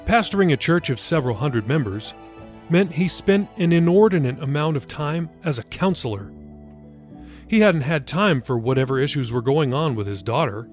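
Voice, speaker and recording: A man's voice: a little high, expressive, moderate pace, soft background music. A man reading his own novel aloud as scripted audiobook narration.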